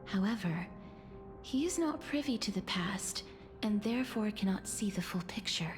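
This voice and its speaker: An actress playing a gentle, solemn young woman bound to death, speaking in a measured, soft way for a video game. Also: medium pitch, lively intonation, music in the background, natural voice. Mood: solemn, wistful. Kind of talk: dramatic video game voice acting.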